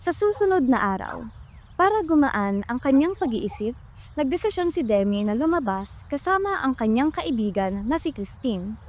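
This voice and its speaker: A woman's voice: high voice, sing-song, normal speed, a little mellow. A woman with a Filipino accent reading scripted narration for a radio drama.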